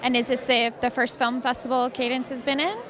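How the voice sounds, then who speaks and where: A woman's voice: sits high, level tone, a little fast, sharp tone. A woman hosting casual red carpet interviews at a festival, asking short questions. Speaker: host, interviewer. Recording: music and busy crowd behind. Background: music, crowd.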